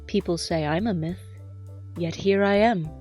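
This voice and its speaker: A mellow, soft-edged female voice from an actress as a cool, wry young superhero. She sounds self-assured, in audio drama style with faint music behind. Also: on the low side, unhurried, lightly acted. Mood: confident, wry.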